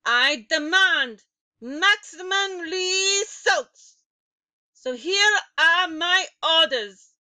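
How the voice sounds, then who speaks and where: A woman plays a tyrannical witch ruler from a children's storybook, furious and menacing. The voice is high and piercing, stretching out each word with imperious, shrieking emphasis. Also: expressive, very slow, pushed and bright, exaggerated voice. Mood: commanding fury. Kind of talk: dramatic storybook character reading.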